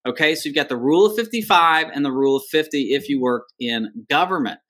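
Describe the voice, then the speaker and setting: Animated man in his thirties explaining finances. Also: on the high side, fast. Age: 30s. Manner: conversational, animated with hand gestures. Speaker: financial advisor. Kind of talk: explaining retirement planning on screen share. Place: home office indoors.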